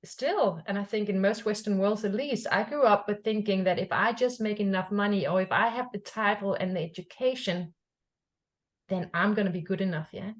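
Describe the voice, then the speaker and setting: A woman's voice: mid-range voice, moderate pace. A middle-aged woman from Denmark hosting a podcast, talking in a reflective, casual way and often checking in with "yeah?". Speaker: podcast host. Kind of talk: casual conversation.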